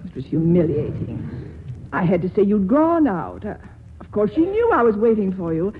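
A woman's voice: mid-range voice, very animated, moderate pace, slight background noise, natural voice. An actress playing a proud, exasperated, easily wounded society woman in a 1940s radio comedy. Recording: muffled 1940s radio broadcast recording.

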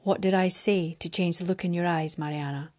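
A woman voicing a proud, cold winged man who is about to rule, speaking low and clipped. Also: fairly even intonation, fairly quick, a little mellow, lightly acted. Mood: stern.

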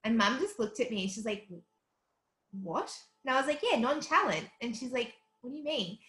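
A woman's voice: on the high side, lively intonation, moderate pace, a bit bright, reverberant. A woman in her twenties, raised in South Africa, with a light Australian accent, telling stories animatedly as an interview guest and laughing often. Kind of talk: casual interview chat.

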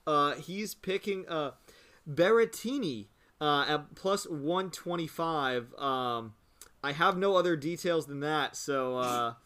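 A man's voice: a little high, lively intonation, takes it slowly, bright, forceful tone. A young man hosting a casual sports-betting podcast chat, loose and joking, with lots of filler "uh"s. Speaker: podcast host running the picks.

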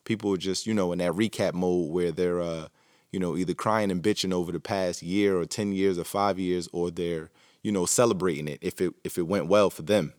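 Deep-voiced man musing alone on mic. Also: steady tone, fairly quick, darkish tone, dry recording, studio quality. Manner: rambling, reflective, many fillers and restarts. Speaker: podcast host. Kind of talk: casual solo monologue.

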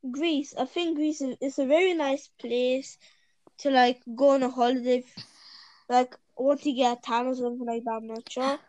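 A woman's voice: high voice, steady tone, normal speed, darkish tone, dry recording, poor audio. A young woman from Bulgaria chatting casually over her phone microphone, hesitant and repeating her words. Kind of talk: casual chat.

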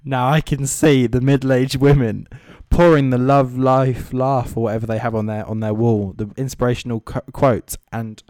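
A man's voice: mid-range voice, flat delivery, normal speed, few pauses, a little mellow, no room sound. A man giving casual, off-the-cuff comedic commentary as a host, with sarcastic, mocking asides. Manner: sweary. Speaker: comedy host reading social media comments.

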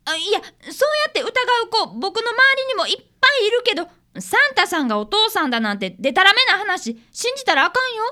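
A woman's voice: very high-pitched, very animated, fast, few pauses, sharp tone, full character voice. A woman playing an earnest, polite fifth-grade boy apprentice detective in a radio drama, with a Kansai lilt. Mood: gentle, earnest. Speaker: radio drama actor and narrator. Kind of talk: community radio drama acting.

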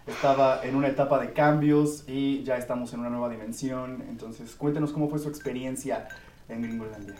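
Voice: medium pitch, fairly even intonation, a little fast, darkish tone. A podcast host with a Mexican accent who asks the guests questions and teases them in a casual way. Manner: friendly teasing questions to guests. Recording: echoey, distant from the mic.

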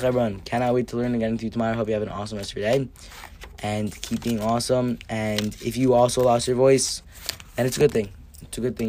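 A hoarse young man hosting an upbeat daily Torah lesson podcast, cheerful and stumbling now and then over Hebrew terms. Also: medium pitch, darkish tone, slight background noise. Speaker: podcast host teaching Mishnah.

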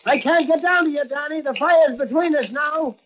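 Loud cop buddy, real high voice, hissy old radio recording. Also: male voice, normal speed. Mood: shouting. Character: burly excitable Irish beat cop, loyal partner. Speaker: police officer character. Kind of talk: 1940s radio acting. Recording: muffled old radio transcription with faint hiss.

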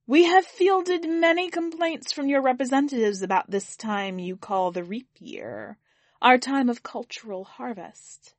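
A woman voicing a towering, owl-like alien envoy who speaks in a calm, formal, measured way. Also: sits high, no room sound, pitched above the performer's own voice. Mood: solemn.

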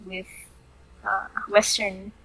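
A young woman co-hosting a podcast in casual chat. She rambles and restarts her sentences, with laughs between thoughts, on a rough home recording. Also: medium pitch, level tone, slow pace, pushed and bright, no room sound, low-quality recording. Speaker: podcast co-host.

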